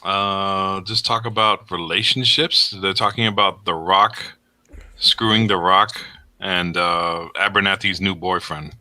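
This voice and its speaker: Low-voiced guy with a really bright edgy tone, keen, lots of uhs. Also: steady tone. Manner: enthusiastic, halting with fillers. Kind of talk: casual live-stream chat.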